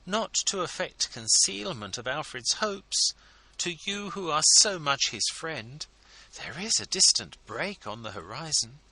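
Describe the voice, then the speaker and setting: A man voicing a gushing, flattering scheming wife who pleads sweetly in a storybook reading. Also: on the high side, a little slow, no echo, pitched above the performer's own voice, full character voice, low-quality recording. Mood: gushing pleading.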